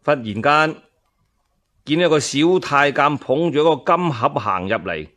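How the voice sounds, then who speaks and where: A man telling a dramatized traditional tale in Cantonese, colloquial and expressive, with asides to his listeners. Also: on the high side, measured pace. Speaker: solo storyteller. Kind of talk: traditional Chinese radio storytelling, dramatized narration.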